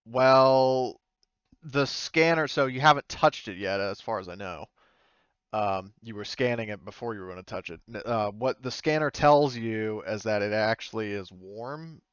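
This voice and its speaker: A low-voiced man improvises as game master in a tabletop session. His tone is smooth, and he talks haltingly, feeling for words as he goes. The sound is dry and a little muffled. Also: fairly bright tone, no echo. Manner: halting, tentative, searching for words.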